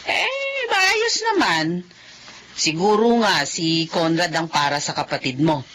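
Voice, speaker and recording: An actress playing an elderly mother and grandmother in an audio drama, chatty and quick to exclaim. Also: sits low, very animated, a bit bright, slight background noise, heavily acted. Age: older. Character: caring elderly mother and grandmother, fussy but warm. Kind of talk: Filipino radio-style audio drama.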